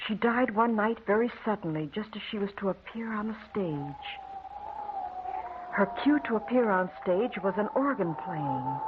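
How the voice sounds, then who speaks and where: An actress playing a matter-of-fact gossip, folksy and confiding, in an old-time radio drama. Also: female voice, medium pitch, background noise, natural voice. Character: matter-of-fact gossiping woman reading the newspaper. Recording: tinny, narrow old radio recording.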